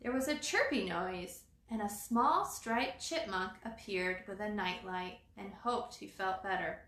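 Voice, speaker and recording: A woman reading a children's storybook aloud as storyteller, in an expressive, dramatizing manner. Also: mid-range voice, unhurried. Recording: roomy echo.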